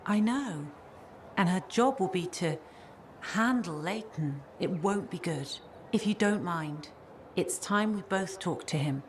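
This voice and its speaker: A woman's voice: fairly low pitch, expressive, slow, a little hiss or hum. An actress playing a worried young woman in video game dialogue, speaking anxiously and hesitantly.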